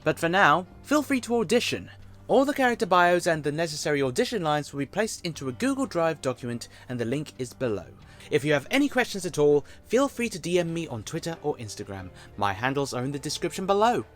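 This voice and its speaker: A man's voice: on the high side, sing-song, brisk, fairly bright tone, faint music behind. A man narrating a scripted casting call for a fan audio drama, articulate and formally worded with chatty asides.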